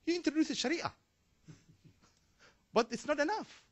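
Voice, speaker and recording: A man from Somalia making a spontaneous comment from the floor of a panel, halting and restarting, his emphasis rising as he goes, in a conference hall. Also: extremely high pitch, lo-fi sound. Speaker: audience member asking a question.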